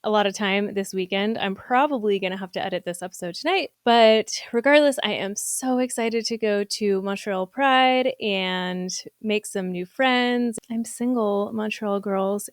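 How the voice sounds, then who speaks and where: A woman's voice: mid-range voice, dry recording. A young woman hosting a podcast, chatting in a bubbly, giggly, upbeat way. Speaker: podcast host. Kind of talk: casual interview chat.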